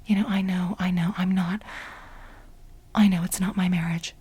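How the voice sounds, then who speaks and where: An extremely breathy, whispered voice of an obsessive woman , played by an ASMR performer who pines for her married boss. She is dramatic and insistent, often repeating herself haltingly. The delivery is soft-spoken ASMR role play. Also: lowish voice, flat delivery. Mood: exasperated.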